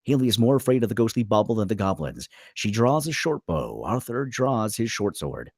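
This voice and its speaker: Animated husky man narrating a script. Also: fairly low pitch, normal speed, no room sound, clean studio sound. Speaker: narrator reading stage directions. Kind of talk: dramatic scripted narration at a table read.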